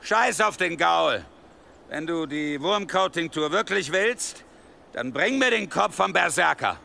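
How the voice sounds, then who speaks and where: An actor playing a coarse, menacing war-band leader with a sneering, threatening delivery in a German historical audio drama. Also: male voice, fairly high pitch, lively intonation, measured pace, gravelly, pushed and bright, a little hiss or hum, exaggerated voice. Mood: gruff, bargaining.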